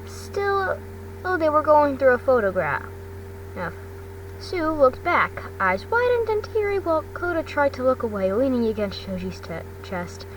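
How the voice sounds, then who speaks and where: A woman reads fiction aloud in a fairly high voice. Her delivery is halting, often stumbling and correcting herself. She sits a little away from the microphone, with faint noise behind her. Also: very animated, recorded from a distance, poor audio. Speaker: fan fiction reader. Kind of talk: amateur audiobook-style story narration.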